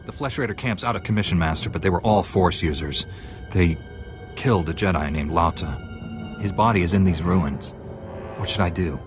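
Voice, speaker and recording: An actor playing a calm, dutiful young Jedi in a video game, measured, earnest and formal. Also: male voice, deep voice, music in the background, lightly acted. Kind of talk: video game cutscene acting.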